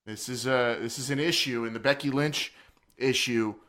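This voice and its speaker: A man's voice: mid-range voice, fairly even intonation, moderate pace, fairly bright tone. A man hosting a casual wrestling talk podcast, joking and rambling with frequent restarts. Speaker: podcast host.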